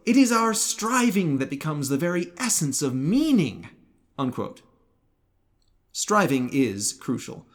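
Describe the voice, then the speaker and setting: A man narrating a scripted religious commentary in a formal, measured way with precise enunciation. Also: medium pitch. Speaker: video essayist.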